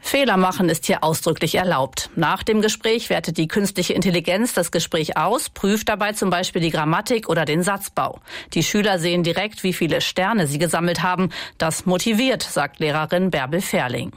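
A woman reporter reading a scripted TV news voice-over in a measured, clear way. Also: lowish voice, dry recording, studio quality.

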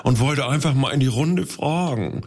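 A man's voice: medium pitch, lively intonation, moderate pace, darkish tone. An actor playing a fussy, earnest man in a coaching group in a radio comedy sketch, rambling on a fixed topic.

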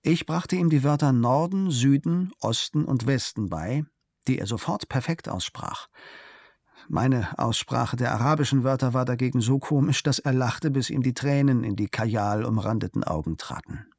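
A man calmly reading a travel diary aloud in a measured storytelling manner, recorded close to a studio mic. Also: mid-range voice, vocal fry, no echo. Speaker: narrator.

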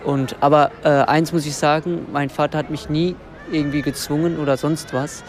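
A man's voice: on the high side, steady tone, moderate pace, slight background noise. A young man from Germany, speaking reflectively and searching for words in an interview. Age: young adult.

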